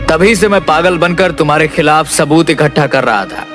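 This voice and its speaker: A man voicing a righteous village police inspector in an animated moral story, firm and resolute. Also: a little high, normal speed, lightly acted. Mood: kindly, explaining. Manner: commanding, resolute. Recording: music behind the voice.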